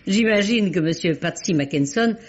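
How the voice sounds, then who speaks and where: Ironic gentleman sleuth, husky voice, muffled vintage radio sound. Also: high voice, animated, moderate pace. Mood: wry. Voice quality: dry, ironic. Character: wry gentleman detective, amused and sharp. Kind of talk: 1950s French radio drama acting. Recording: dull old radio recording.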